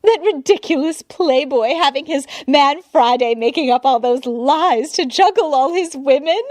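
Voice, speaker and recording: A woman's voice: no echo, studio quality. A woman reading aloud, voicing an effusive older society lady who gushes over everyone she meets. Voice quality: shrill, breathless gushing.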